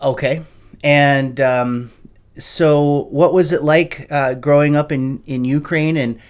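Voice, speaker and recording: A man's voice: a little high, fairly even intonation, slow pace, smooth. A man hosting a casual interview, asking long questions with many uhs and restarts. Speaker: podcast host, interviewer.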